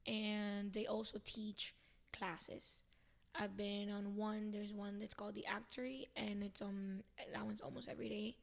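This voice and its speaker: Flat-voiced woman rambling on. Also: mid-range voice, a little slow, creaky. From Venezuela. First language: Spanish. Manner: rambling, chatty, thinks aloud as she talks. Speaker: podcast guest. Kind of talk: casual interview chat.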